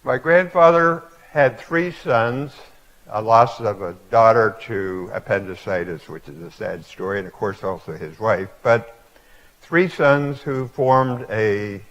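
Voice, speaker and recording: A man in his eighties giving a reflective public lecture to a live audience, telling stories in a halting way with many fillers. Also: fairly low pitch, highs cut off. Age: 80s. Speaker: guest speaker. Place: auditorium before a live audience.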